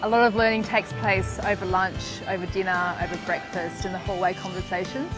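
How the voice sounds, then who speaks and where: A woman who organizes the program explains it in a calm, measured interview, recorded outdoors. Her voice sits at a middle pitch. Faint music runs underneath. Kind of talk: interview commentary. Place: outdoors among greenery.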